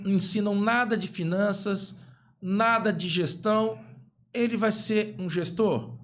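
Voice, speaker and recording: A man in his fifties, a guest physician on a podcast, speaking emphatically and repeating key phrases. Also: fairly high pitch. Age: 50s. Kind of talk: podcast interview talk.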